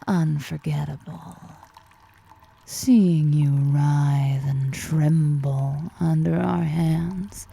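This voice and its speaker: A woman playing a seductive, powerful female drider in an ASMR roleplay, toying and sultry, murmuring with a breathy, husky edge. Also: low voice, dark tone, heavily acted. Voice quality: breathy, husky whisper-edged.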